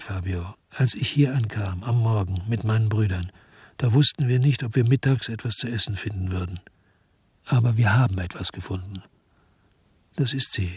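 A man's voice: fairly low pitch, darkish tone, husky, no echo. A man playing a weary, disgusted hard-boiled investigator, narrating his own story in a brooding, terse way in a German radio drama. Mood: reflective.

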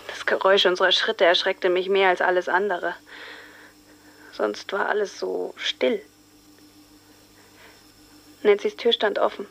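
Shaken, halting teenage girl. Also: medium pitch, creaky, rough recording. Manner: hesitant, halting, hushed. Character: shaken teenage girl recalling finding her murdered friend. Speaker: actor in a radio drama. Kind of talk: dramatised witness testimony in a German radio play.

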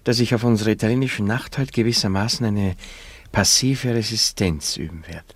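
Radio play: male actor as cynical womaniser, very low husky voice, mock-solemn, wheedling. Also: normal speed, no room sound, close to an everyday voice. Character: self-pitying, cynical womaniser and political comrade. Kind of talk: radio drama acting.

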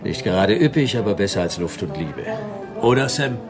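A man's voice: on the low side, big pitch swings, moderate pace, over music. A man playing a world-weary private detective in a radio drama, speaking in dry, laconic, clipped phrases. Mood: wry. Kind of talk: noir radio drama narration and dialogue.

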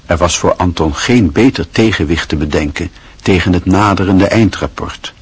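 Husky low-voiced male narrator. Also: dry recording. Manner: calm, measured storytelling. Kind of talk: radio play narration.

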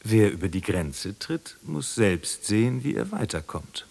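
An actor in a radio drama playing a stern, scornful man who passes judgement in a gravelly, husky voice. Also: fairly low pitch, sing-song, measured pace, a little mellow, exaggerated voice.

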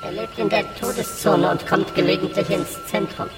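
Reverberant, distant deferential informant. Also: male voice, extremely high pitch, wildly swooping pitch, moderate pace, full character voice. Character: deferential male informant and tracker, Mister Ivan. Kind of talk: radio drama acting. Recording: long reverb, distant, music behind.

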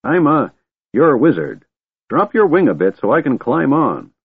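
Cranky old wizard, high raspy voice, sputtering n scatterbrained, fantasy audiobook style. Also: male voice, big pitch swings, measured pace, dry recording, phone-quality sound, full character voice. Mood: flustered. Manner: blustering, flustered, sputtering.

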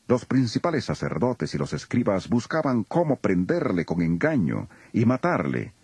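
A man's voice: fairly low pitch, huge pitch swings, normal speed, a little mellow, husky, no echo. A man narrating a dramatized scripture recording as a solemn storyteller.

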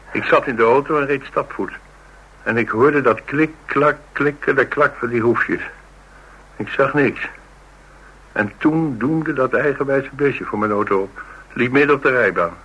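A man's voice: medium pitch, bright, forceful tone, slightly rough, poor audio. An actor playing a brooding, philosophical man in a radio drama, musing and dryly understated. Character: brooding, philosophical man named Paul, haunted by dreams. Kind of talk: Dutch radio drama acting, naturalistic dialogue.

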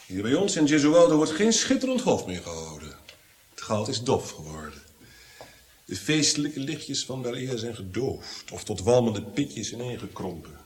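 A tormented prince in a radio play, low and very husky. His delivery is theatrical and swings widely from hushed, haunted awe to agitated outbursts. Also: full character voice. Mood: weary, sardonic. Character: brooding, tormented nobleman prince, haunted by guilt and visions. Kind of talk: Dutch radio drama acting.

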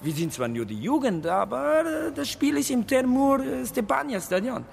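An actor playing a cheeky, candid young Georgian footballer in a radio drama, talking in a teasing, informal way. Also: male voice, very high-pitched, sing-song, normal speed, vocal fry, slight background noise, exaggerated voice. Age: young adult.

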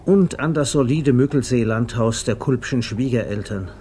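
A man narrating a literary 1950s radio play in a measured, deliberate, storytelling way. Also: mid-range voice, warm tone. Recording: faintly noisy vintage radio recording.

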